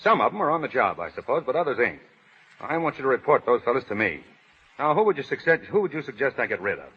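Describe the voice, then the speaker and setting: An actor playing a smug, bullying factory boss, self-important and slangy but cowardly underneath, in 1930s radio drama. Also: male voice, medium pitch, very animated, quick pace, husky. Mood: scheming. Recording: muffled 1930s radio broadcast, reverberant hall.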